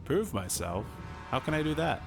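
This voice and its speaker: A man's voice: fairly high pitch, big pitch swings, moderate pace, vocal fry, over music. A man doing a casual amateur voice-over as the player's hero in a video game.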